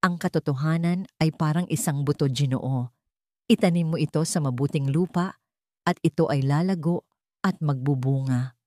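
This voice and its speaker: A woman voicing a wise, gentle elderly grandmother in an audiobook, with measured, sage-like delivery. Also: sits low, no echo, clean studio sound, close to an everyday voice. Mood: serene. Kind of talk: audiobook character dialogue.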